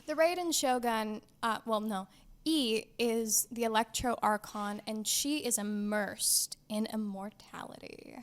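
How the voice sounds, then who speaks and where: A woman's voice: fairly high pitch, a little slow. A young woman hosting a casual podcast, chatting about a video game in a playful, gushing, enthusiastic way. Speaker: podcast host.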